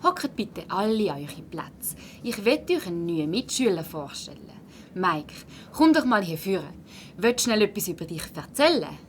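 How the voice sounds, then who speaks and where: Casting: woman playing kind, firm primary teacher, lively melodic delivery, Swiss German, slight noise behind. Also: medium pitch, normal speed, lightly acted. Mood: welcoming. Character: kindly but firm female primary school teacher. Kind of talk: children's audio play acting.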